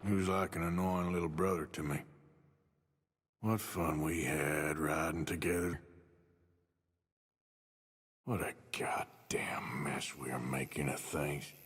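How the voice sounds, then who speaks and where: An actor playing a gruff, brooding American outlaw enforcer in a video game, terse and blunt. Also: male voice, rumbling low, steady tone, slow pace, pauses often, exaggerated voice. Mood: sorrowful. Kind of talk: video game cutscene acting.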